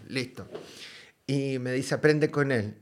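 A man from Chile with a Chilean accent, a podcast guest telling a story in a casual, lively way, full of slang and swearing. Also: medium pitch, slow.

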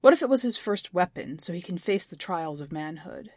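A woman reading the part of an eccentric, excitable man in an audiobook story, declaiming and firing probing questions. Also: on the low side, fairly quick, dry recording, clean studio sound.

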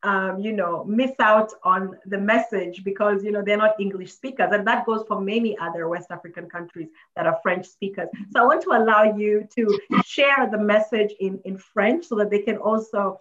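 A woman's voice: medium pitch, moderate pace, hardly any pauses, a bit bright. Woman host, emphatic, repetitive, filler-heavy, dull muffled audio. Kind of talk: interview host making an appeal.

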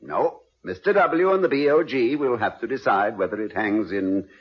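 An actor playing a genial, scholarly college president and playfully wordy husband in 1950s radio comedy style, courteous, wry and musing. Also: male voice, medium pitch, expressive, moderate pace, breathy edge. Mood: wry, pleased. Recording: dull vintage radio recording.

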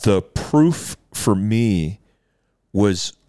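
A man's voice: lowish voice, animated, extremely slow, mellow, clean tone, no room sound. A man hosting a casual interview, reacting with enthusiasm and trailing off mid-sentence. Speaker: podcast host.

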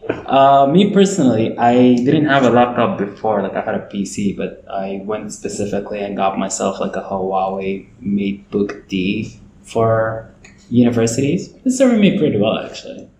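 A man's voice: lowish voice, moderate pace, roomy sound, a little hiss or hum, poor audio. A young man, a student raised in Saudi Arabia, co-hosting a casual podcast and often laughing. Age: young adult. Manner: laughs often, relaxed banter. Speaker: podcast co-host. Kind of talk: casual chat.

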